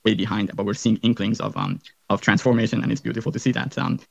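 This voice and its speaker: Male host, rapid and gravelly, rambling with fillers, dry dull recording. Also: on the low side, fairly even intonation, no room sound. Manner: rambling, many fillers and restarts. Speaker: host, physician. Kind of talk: casual interview chat.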